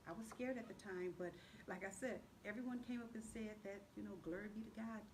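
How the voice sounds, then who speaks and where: Woman guest chatting in an interview, mid pitch voice, clearly noisy room. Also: fairly even intonation, brisk. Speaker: assistant director, interview guest. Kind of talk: casual interview chat.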